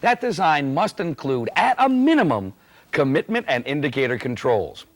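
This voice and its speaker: A man's voice: fairly high pitch, big pitch swings, normal speed. A man acting as a plain-spoken, emphatic presenter, giving scripted instruction in a corporate training film. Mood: instructive.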